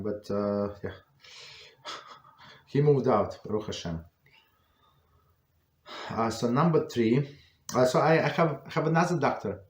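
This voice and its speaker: A man teaches an informal religious class in a medium-pitched voice. He speaks haltingly, doubling back on words and often tagging phrases with a questioning check. The room adds a noticeable echo around his voice. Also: darkish tone. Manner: halting, repeats words, rhetorical "right?" tags. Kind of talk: informal religious law lecture. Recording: echoey room.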